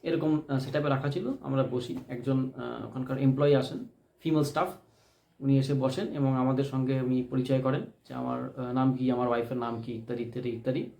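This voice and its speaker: A man telling a personal story unscripted, in a deliberate, emphatic way that repeats phrases for stress. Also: medium pitch, level tone, gravelly, mellow. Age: adult, married. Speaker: vlogger telling a personal experience. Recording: echoey room.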